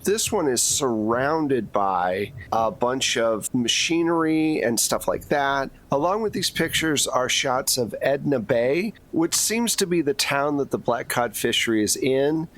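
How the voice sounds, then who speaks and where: A man's voice: medium pitch, a bit bright. A man narrating a tabletop roleplaying game as game master, setting scenes in a casual, conversational way.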